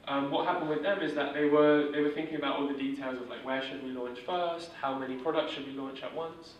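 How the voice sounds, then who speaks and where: A man leading a startup workshop, explaining principles in an informal, steady way with fillers. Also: on the high side, a little fast, highs cut off, slight background noise. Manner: steady, monotone delivery with filler words. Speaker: workshop instructor. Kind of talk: informal teaching talk. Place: large echoey room. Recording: echoey room from far off.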